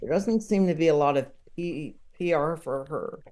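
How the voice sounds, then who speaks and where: A podcast guest talking casually and reflectively, in a halting, thoughtful way, repeating words while searching for the right phrasing. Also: mid-range voice, darkish tone. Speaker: podcast guest, comedy enthusiast. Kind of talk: casual reflective interview talk.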